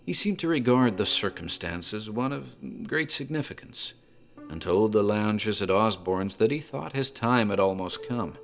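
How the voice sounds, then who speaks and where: A man playing a talkative rural tavern landlord who recounts a local horror tale in a measured, ominous manner. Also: fairly low pitch, lively intonation, gravelly. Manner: measured, ominous, literary phrasing. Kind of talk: dramatic horror storytelling. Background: music.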